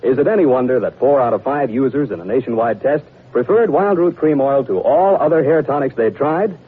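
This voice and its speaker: American guy, 40s radio announcer doing scripted ad read, lively salesman delivery, rough unclear muffled old recording. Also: medium pitch, a little fast.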